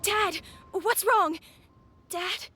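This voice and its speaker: Very high-pitched little girl. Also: extremely slow, bright, forceful tone, no echo, faint music behind, full character voice. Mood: frightened. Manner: childlike, plaintive. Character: anxious little girl, sweet and innocent. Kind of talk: video game character acting.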